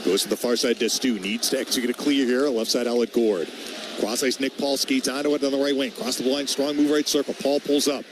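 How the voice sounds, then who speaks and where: A man works as a radio play-by-play announcer. His mid-pitched voice delivers hockey commentary in short, clipped phrases. Clear background noise from the game runs behind him. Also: fairly even intonation, brisk. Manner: clipped phrases following the action.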